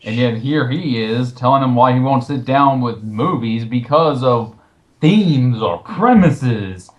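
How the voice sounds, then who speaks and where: A man's voice: mid-range voice, expressive, slow pace, a little mellow. A man hosting casual movie commentary in a sarcastic, incredulous manner. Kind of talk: casual commentary with read-out quotes.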